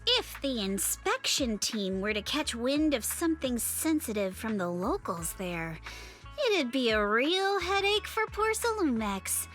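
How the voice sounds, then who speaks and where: A high, bright, very animated game voice plays a playful young woman. She talks in a breezy, teasing way, with music behind her. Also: a little slow. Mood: confiding, explanatory. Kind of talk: video game voice acting.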